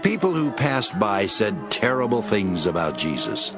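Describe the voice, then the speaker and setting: Deep, expressive male Bible narrator. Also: a little slow, gravelly. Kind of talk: dramatized scripted Bible narration. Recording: music behind.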